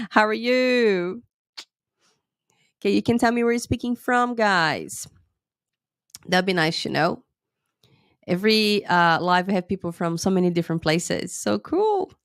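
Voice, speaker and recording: A woman English teacher giving a live-streamed lesson, a little sick with a cold and a sore throat, talking slightly slowly and often checking in with "okay?". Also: mid-range voice, lively intonation, dry recording, clean studio sound. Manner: chatty, lots of "okay?" checks with viewers. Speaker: English teacher and IELTS tutor.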